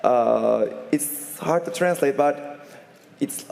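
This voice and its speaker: A man from Turkey, a journalist asking a question in halting English full of fillers. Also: mid-range voice, gravelly, a little mellow. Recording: echoey reverberant press hall.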